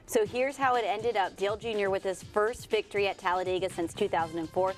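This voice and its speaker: A woman's voice: mid-range voice, fairly even intonation, fairly quick, soft background music. A woman anchoring a sportscast, reading scripted news and teasers.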